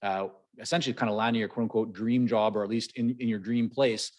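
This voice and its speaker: A man from Canada, whose first language is Hebrew, hosting a conversational interview in a relaxed way and often repeating words as he thinks. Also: fairly low pitch, fast. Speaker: podcast host.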